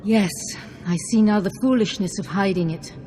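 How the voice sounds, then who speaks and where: A woman playing a gentle, grateful Jedi master, speaking in an earnest, measured, formal way in a video game. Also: medium pitch, slight background noise, close to an everyday voice. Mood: reflective.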